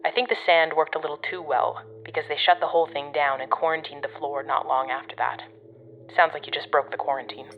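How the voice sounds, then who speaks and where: An actress playing a gruff, exasperated but protective woman guiding a colleague over the radio in an audio drama, bossy and sardonic. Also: deep voice, steady tone, fast talker, narrow, tinny audio, a little hiss or hum. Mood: wry, instructing.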